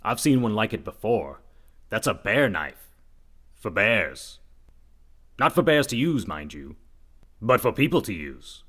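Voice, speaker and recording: An earnest, self-assured reporter sleuth played by a man, with a lowish voice that swings widely in pitch. He is dramatic and a little dim, straight out of a spoof radio whodunit. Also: very animated, unhurried, long pauses, a bit bright, full character voice. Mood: earnest, deadpan. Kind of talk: comedic old-time radio mystery acting.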